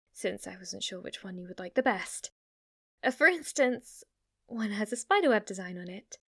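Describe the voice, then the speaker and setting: A woman's voice: fairly high pitch, no room sound, clean studio sound, lightly acted. A woman voicing an obsessive, lovestruck witch in an intimate ASMR roleplay, with a hesitant, gushing way of talking. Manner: hesitant, rambling, gushing, giggly delivery. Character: lovestruck yandere witch, obsessive, shy yet giddy, teasing.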